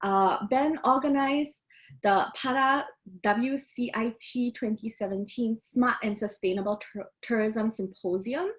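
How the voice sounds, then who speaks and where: A woman's voice: a little high, a little slow. A woman hosting and moderating a casual interview, introducing guests and asking questions. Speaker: host, moderator.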